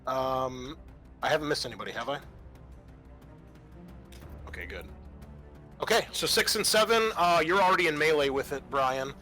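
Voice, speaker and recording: A man's voice: medium pitch, very animated, normal speed, pauses often, pushed and bright. A man narrating a tabletop roleplaying game as game master, informal and thinking aloud as he goes. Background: music.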